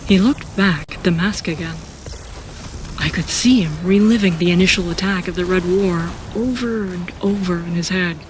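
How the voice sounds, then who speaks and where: A woman's voice: on the low side, moderate pace, a little hiss or hum, lightly acted, low-quality recording. A woman as a reflective warrior narrator. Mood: pensive. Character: introspective seasoned warrior narrating her own story. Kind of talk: first-person scripted audio drama narration.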